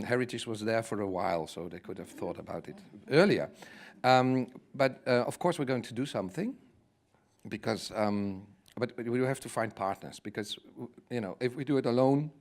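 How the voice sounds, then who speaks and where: A man speaking on a conference panel, reflective and thinking aloud in loose, searching phrases. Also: medium pitch. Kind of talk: conversational panel discussion.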